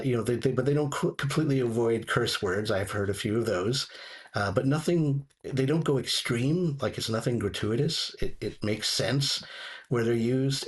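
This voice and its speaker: A man chatting casually as a reviewer on a talk show, halting and rambling as he doubles back on phrases. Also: mid-range voice. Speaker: audio drama reviewer, show contributor.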